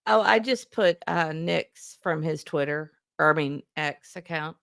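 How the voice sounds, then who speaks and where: A woman co-host talks in a casual, conversational way. Her voice sits a little low and carries a strong vocal fry. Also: unhurried, fairly bright tone. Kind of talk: casual chat.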